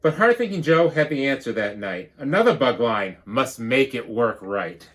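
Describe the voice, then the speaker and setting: A man with a very lively, wide-swinging voice reads a picture book aloud. He follows the rhyme with a steady bounce and slips into teacherly asides to explain. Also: medium pitch, moderate pace. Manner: rhythmic rhyme reading, dropping into quiet explanatory asides. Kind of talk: animated read-aloud storytelling with asides.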